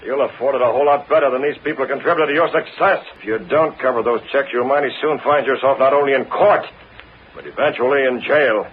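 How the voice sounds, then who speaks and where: An actor playing a commanding, threatening masked vigilante in a clipped, tough old-time radio adventure style. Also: male voice, medium pitch, fast talker, close to an everyday voice. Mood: stern, commanding. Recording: muffled, noisy old radio recording.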